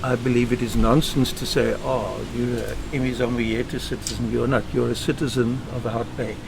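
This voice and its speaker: A South African man giving measured, emphatic answers as a podcast guest. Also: medium pitch, little pitch movement, gravelly, background noise. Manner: measured, emphatic, speaks in short firm statements. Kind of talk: earnest interview answers. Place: home.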